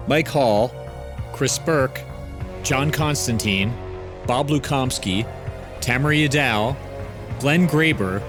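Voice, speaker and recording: A man hosting a podcast, reading the credits and sign-off in a casual way. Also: mid-range voice. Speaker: podcast host. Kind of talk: show outro and credits.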